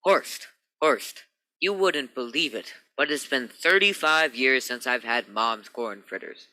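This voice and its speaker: A man's voice: medium pitch, animated, unhurried, sharp tone. A man playing a commanding middle-aged Navy rocket captain with strong feeling in a radio play. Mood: happy.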